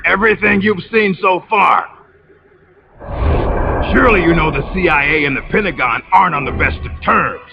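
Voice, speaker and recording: An actor playing a scheming, bitter military officer in a video game cutscene, talking in a condescending, theatrical, taunting way. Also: male voice, sits high, moderate pace, creaky, long pauses. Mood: smug explaining. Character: smug, scheming traitorous male military officer, bitter and mocking. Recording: muffled game audio under loud music.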